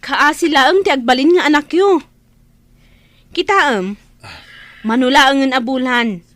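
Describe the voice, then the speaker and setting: An actress with an Ilocano accent playing a principled younger sister with a pointed, reproachful manner in a radio drama. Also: female voice, high voice, a little slow, fairly bright tone, dry recording. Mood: reproachful, pleading. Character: principled, outspoken younger sister scolding her elder brother.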